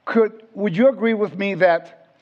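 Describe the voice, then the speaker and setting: A man's voice: high-pitched, a little slow. Man from Puerto Rico teaching scripture. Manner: conversational, asks the audience questions. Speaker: Bible teacher. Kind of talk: informal Bible teaching to a live class. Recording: dull lo-fi sound.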